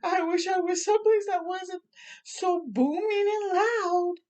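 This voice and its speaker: A woman's voice: extremely high pitch, a little slow, darkish tone, pitched above the performer's own voice. A woman voicing a plaintive, curious little girl from a storybook who keeps wishing to be elsewhere. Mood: overwhelmed. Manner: plaintive, wishful.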